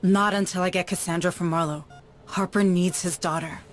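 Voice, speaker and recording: A fairly low woman's voice over clear background music. An actress plays a principled, resolute leader in a cinematic sci-fi game, committed and serious in her delivery. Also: steady tone, normal speed, lightly acted. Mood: firm. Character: resolute, principled woman administrator leading a sci-fi rescue mission. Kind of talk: cinematic video game acting. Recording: loud music under dialogue.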